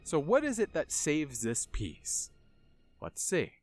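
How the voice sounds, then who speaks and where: A man's voice: fairly high pitch, huge pitch swings, slow pace, darkish tone, faint music behind. A man hosting a video essay with casual analytical commentary, dramatic emphasis and colloquial asides.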